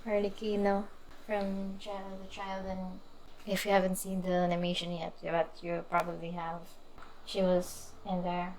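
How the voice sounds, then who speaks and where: A woman game streamer giving casual live commentary in a low-key, musing way. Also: completely flat delivery, moderate pace, a little mellow, a little hiss or hum, poor audio. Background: faint game music.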